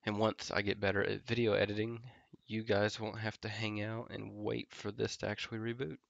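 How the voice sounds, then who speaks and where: Congested, nasal man with a gravelly low voice. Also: a little fast, dry recording, muffled recording. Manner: relaxed, filler-heavy explaining while typing commands. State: congested from a head cold. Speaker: network engineer tutorial host. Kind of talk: casual screen-recorded tech tutorial. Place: home office.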